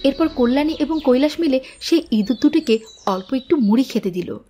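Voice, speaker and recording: High-pitched woman storyteller. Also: expressive, brisk, warm tone, soft background music. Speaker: narrator. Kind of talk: scripted children's story narration.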